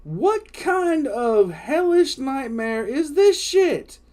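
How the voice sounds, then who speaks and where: High-voiced older man, exasperated and profane. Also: very animated, extremely slow, a little mellow. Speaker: reaction video host. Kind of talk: off-the-cuff reaction commentary.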